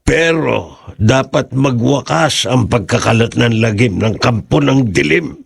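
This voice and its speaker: An actor playing a grave, fearless village father in a horror radio drama, speaking emphatically in a husky, creaky voice. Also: medium pitch, animated, unhurried, no echo, clean studio sound, heavily acted. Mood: resolute.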